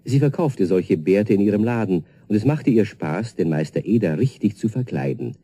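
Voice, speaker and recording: A man's voice: on the low side, animated, moderate pace, dark tone, breathy edge. A man narrating a scripted children's story as a storyteller, addressing his young listeners directly.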